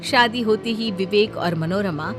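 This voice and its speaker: A woman's voice: mid-range voice, a little slow, over music. A woman narrator reading a scripted moral story.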